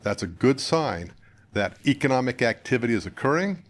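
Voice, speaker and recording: An older American man from northern Utah, a guest candidate giving plain-spoken, conversational interview answers in measured phrases. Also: mid-range voice, sing-song. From northern Utah, United States. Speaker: political candidate, podcast guest.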